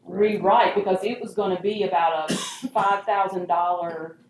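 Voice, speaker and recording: A woman's voice: medium pitch, fairly even intonation, a little slow. A woman on village staff, explaining things conversationally at an informal meeting. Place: meeting room. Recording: echoey meeting room, faint noise.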